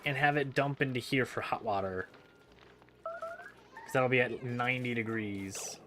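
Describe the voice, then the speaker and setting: Animated man doing casual game commentary. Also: medium pitch, a little slow, noisy background. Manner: playful, exclamatory, thinking aloud. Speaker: gaming video host.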